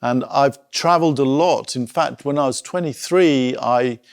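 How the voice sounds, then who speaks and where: Slow, creaky-voiced middle-aged man giving a talk. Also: medium pitch, dry recording, studio quality. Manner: reflective, hesitant, thinking aloud with pauses. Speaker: art school director giving a talk. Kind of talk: informal illustrated public talk.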